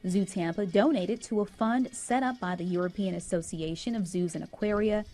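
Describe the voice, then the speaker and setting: A woman's voice: medium pitch, warm tone, soft background music. Female TV reporter, scripted voice-over. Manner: polished, measured. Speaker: news reporter. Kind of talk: scripted news narration.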